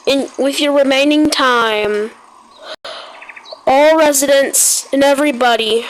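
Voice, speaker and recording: A woman with a high voice narrates in an unpolished, homemade style. She takes her time and often stumbles back over words and phrases. The recording is clearly noisy, with clicks. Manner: halting, repeats words and phrases. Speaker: video creator narrating a made-up emergency alert scenario. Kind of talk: amateur emergency alert read-out mixed with casual gameplay chat.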